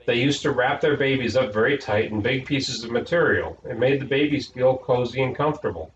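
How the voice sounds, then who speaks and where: A man's voice: medium pitch, fairly quick, lightly acted. A man reading the part of a patient, slightly weary mother explaining things to children in a storybook. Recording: echoey room, distant mic, noisy, dull.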